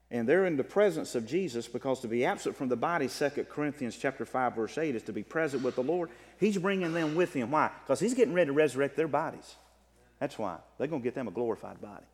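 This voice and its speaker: A man preaching a sermon, teaching from the Bible in an emphatic way that swings between loud and soft. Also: fairly high pitch. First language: English. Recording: long reverb of a hall.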